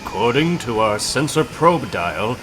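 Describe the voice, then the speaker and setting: A man playing a calm, analytical scientist hero, measured and thoughtful, in a comic book dramatization. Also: mid-range voice, background noise. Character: calm, analytical male scientist superhero leader.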